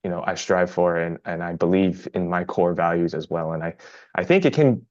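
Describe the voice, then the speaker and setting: A man's voice: low voice, fairly quick, darkish tone, no room sound, lo-fi sound. A man interviewing a guest, earnest and affirming, often repeating words as he speaks. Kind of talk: supportive interview conversation.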